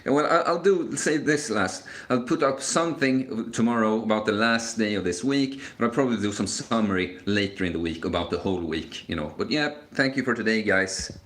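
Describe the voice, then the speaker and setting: A man's voice: on the low side, brisk, hardly any pauses, a bit bright. A man in his twenties hosting a casual live Q&A stream, talking in a rambling, hesitant way with many fillers and restarts. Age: 20s. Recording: echoey room.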